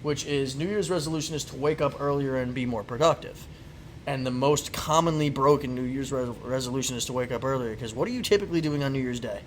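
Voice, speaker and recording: A man's voice: mid-range voice, fast talker, slight background noise, rough recording. A young man co-hosting a podcast, chatting loosely with plenty of teasing and swearing. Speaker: podcast co-host. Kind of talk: casual banter.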